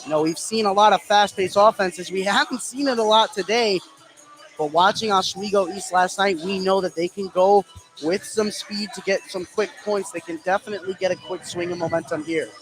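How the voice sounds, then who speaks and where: A man doing live play-by-play basketball commentary on the radio, raising his voice into excited shouts on big plays. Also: sits high, moderate pace, faint music behind. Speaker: play-by-play announcer. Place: indoor school basketball gym, live courtside. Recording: noisy live gym broadcast.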